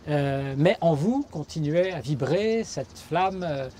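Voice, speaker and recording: A man's voice: on the high side, animated, slow, warm tone, slight background noise. A man interviewing for a documentary, asking long, searching questions and murmuring assent as he listens.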